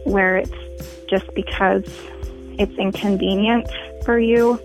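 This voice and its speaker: A woman in her twenties records a personal message as a radio listener. She speaks slowly and hesitantly with little change of pitch, over faint music. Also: fairly even intonation, rough recording. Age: 20s.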